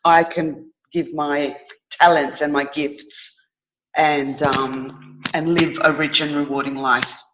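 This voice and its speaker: A middle-aged woman telling her own story as an interview guest, reflective and thoughtful, often pausing to search for words. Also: low voice, fairly even intonation, background noise.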